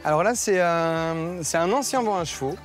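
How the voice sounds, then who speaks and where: A man in his thirties, owner of a mobile bar, explaining his project in a relaxed interview, pausing often with fillers. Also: fairly high pitch, music in the background. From France. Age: 30s. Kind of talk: news interview. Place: outdoors at a rural bar event.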